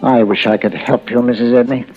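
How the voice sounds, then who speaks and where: An actor playing a mild, polite, hesitant real estate agent, husky-voiced, in old-time radio suspense style. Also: male voice, medium pitch, steady tone, a little fast, music in the background, close to an everyday voice. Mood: puzzled, uneasy. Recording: dull vintage radio drama recording.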